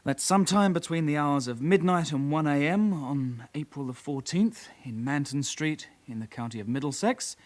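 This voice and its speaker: An actor playing a young British detective constable in a radio drama, a calm sidekick with a measured, official way of talking. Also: male voice, a little high, lightly acted. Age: young adult.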